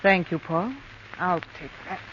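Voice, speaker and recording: A woman's voice: fairly low pitch, steady tone, a little slow, close to an everyday voice. An actress playing a cunning, brazen female criminal in a theatrical 1940s radio drama. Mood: cold, triumphant. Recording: noisy muffled vintage radio recording.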